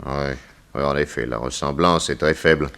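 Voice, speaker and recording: An actor playing a shrewd, insistent private detective in a 1960s French radio serial, with a probing, ironic, theatrical manner. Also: male voice, very deep voice, normal speed, gravelly, a bit bright, clean tone, full character voice.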